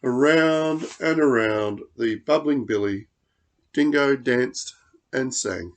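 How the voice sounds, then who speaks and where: Slow, expressive man reading a storybook. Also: medium pitch. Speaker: storybook reader. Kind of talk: expressive children's story narration.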